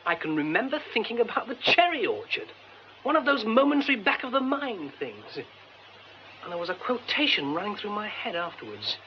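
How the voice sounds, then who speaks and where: An actor playing an excitable, musing young British theatre man in a radio drama. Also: high-pitched, a little fast, dull audio, slight background noise. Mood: searching memory.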